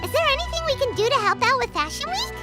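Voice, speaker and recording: Tiny pushy fairy girl, super squeaky, game music playing loud under it. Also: big pitch swings, fast. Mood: cheerful. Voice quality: high, squeaky, bouncy. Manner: talks about herself in third person. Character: tiny floating fairy-like female companion, bubbly and pushy. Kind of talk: video game voice acting.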